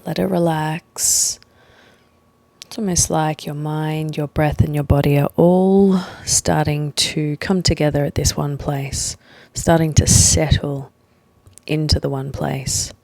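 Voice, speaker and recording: A woman leading a guided meditation, speaking gently and leaving long pauses between short phrases. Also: low voice, fairly even intonation, creaky, no echo. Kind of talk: guided meditation, slow soothing instruction.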